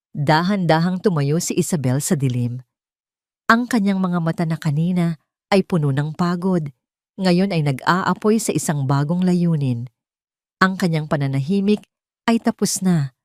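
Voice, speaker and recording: A woman's voice: lowish voice, a little mellow, no echo, studio quality. A woman narrating a scripted dramatic story.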